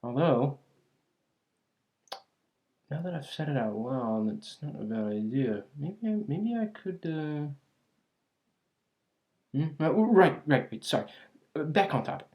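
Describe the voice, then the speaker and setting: A voice actor playing a clumsy, cheerfully eccentric alchemist shopkeeper in an ASMR roleplay, chatty and absent-minded, halting and trailing off. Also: medium pitch, expressive, a little mellow. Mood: musing, flustered. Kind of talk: ASMR roleplay, scripted character acting.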